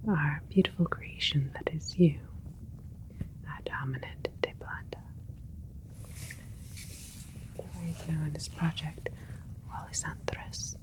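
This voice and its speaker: A woman playing a gentle alien scientist in a whispered ASMR sci-fi roleplay, explaining things in a soothing, clinical way. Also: low-pitched, poor audio. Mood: gentle, proud. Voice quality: breathy whisper throughout. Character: gentle alien scientist doctor, proud of a hybrid creation.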